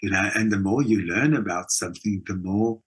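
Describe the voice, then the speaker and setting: A man with a South African accent and a fairly low, husky voice talks in a reflective interview. He sounds earnest and opinionated, and tends to act out the exchanges he quotes. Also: normal speed, fairly bright tone. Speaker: writer and documentary maker being interviewed.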